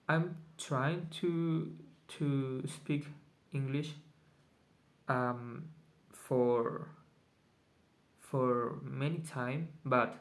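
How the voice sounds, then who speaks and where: Man, native Spanish speaker, very slow, halting English, many pauses, mid-pitched, casual video diary. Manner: hesitant, searching for English words, many pauses. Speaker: vlogger.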